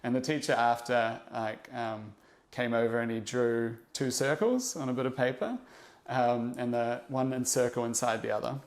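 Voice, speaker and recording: A young man with a New Zealand accent talks through his past in a casual podcast chat. He pauses often and restarts his sentences, reflective and unhurried in his thinking. His pitch sits in an ordinary middle range for a man. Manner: reflective, halting, many fillers and restarts. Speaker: interview guest, investor.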